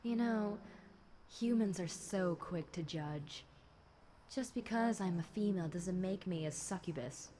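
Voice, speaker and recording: A woman plays a centuries-old demon girl with a slightly low voice and an unhurried, slow delivery. She is chatty, smug and teasing, speaking casually to the listener as in an audio roleplay, over clearly audible background noise. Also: dark tone, natural voice. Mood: laid-back. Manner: casual, teasing, conversational with light chuckles.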